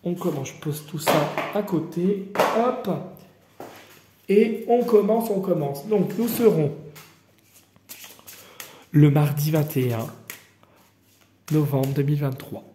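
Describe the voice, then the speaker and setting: A man's voice: on the high side, slow pace, long pauses, background noise. A man from the Paris region doing a casual, improvised card reading. He rambles in a familiar way and talks straight to his viewer. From Paris region, France. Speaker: card reader hosting daily guidance videos. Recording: roomy echoey room.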